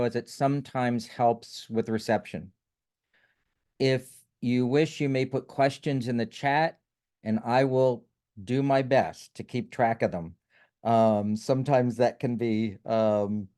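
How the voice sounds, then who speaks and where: Man, webinar host, smooth resonant voice, mellow tone, steady reading with fillers, video call. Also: mid-range voice. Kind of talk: housekeeping notes and speaker introduction.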